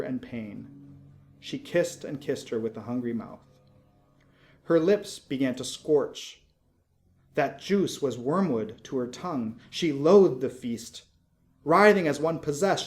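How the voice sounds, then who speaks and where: Higher-voiced man reading narrative verse. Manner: expressive, measured phrases with deliberate pauses. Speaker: poetry reader, narrator. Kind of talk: dramatic narrative poetry reading.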